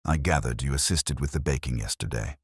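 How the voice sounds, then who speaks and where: A man playing a courteous, unhurried police inspector in a storybook mystery. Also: rumbling low, husky, no echo, studio quality. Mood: composed. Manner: courteous, terse.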